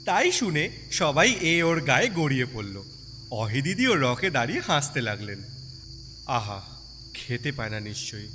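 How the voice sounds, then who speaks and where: A man narrating a story in an expressive, dramatic storytelling style with sympathy in his delivery. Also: medium pitch. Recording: long reverb, background night insect noise.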